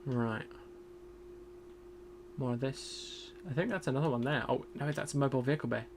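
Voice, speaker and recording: A man streaming a game in casual commentary. He is chatty and self-deprecating, thinks aloud, and has a loud voice. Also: medium pitch, moderate pace, creaky, noisy background.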